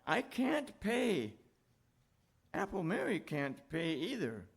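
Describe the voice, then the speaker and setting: Gravelly, frightened beggar acted by a man, halting. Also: high-pitched, full character voice. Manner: halting, stammering, gasping pleas. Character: delirious beaten beggar street singer, frightened and pleading. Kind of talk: 1930s radio drama acting.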